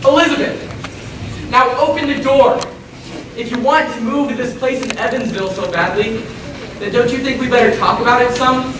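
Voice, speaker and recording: A man playing an apologetic, folksy small-town father in a stage play, gently coaxing. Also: very high-pitched, moderate pace. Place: stage in a reverberant hall, distant mic. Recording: echoey hall from far off, noisy.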